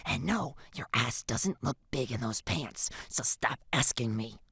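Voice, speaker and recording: A woman's voice: deep voice, very animated, unhurried, fairly bright tone, no room sound, studio quality, heavily acted. A woman voicing a frank, exasperated teenage schoolgirl with a blunt, scolding manner in a story narration.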